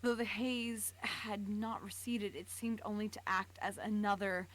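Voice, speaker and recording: A woman's voice: medium pitch, little pitch movement, a little slow, a bit bright, low-quality recording. A woman narrating fan fiction dramatically on a live stream, stumbling over words and dropping into casual asides. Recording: clear background noise.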